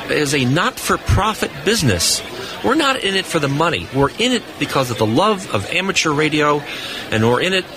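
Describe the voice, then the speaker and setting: An American man speaking earnestly as a guest in an informal live radio interview, making appeals to listeners. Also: on the high side. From New England, United States. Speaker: interview guest, test engineer. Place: booth on busy convention floor. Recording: noisy convention hall floor, live broadcast.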